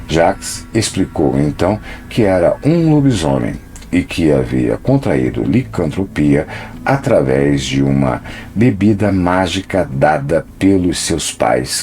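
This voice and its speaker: A man narrating a scripted documentary in a measured, dramatic storytelling manner. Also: extremely low pitch, a little mellow.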